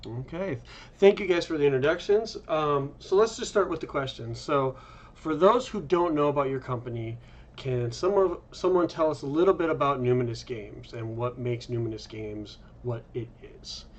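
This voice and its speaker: A man's voice: medium pitch, lively intonation, moderate pace. A man hosts a developer panel in a mid-range voice. The sound is a little dull and lo-fi, as he introduces guests and puts questions to them. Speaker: host. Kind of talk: hosting a developer interview. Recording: slightly dull, lo-fi microphone.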